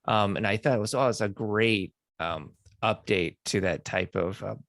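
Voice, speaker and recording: A man hosting a podcast, talking in a relaxed way with many fillers. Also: on the low side, normal speed, gravelly, no echo, clean studio sound. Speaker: podcast host. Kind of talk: casual podcast interview.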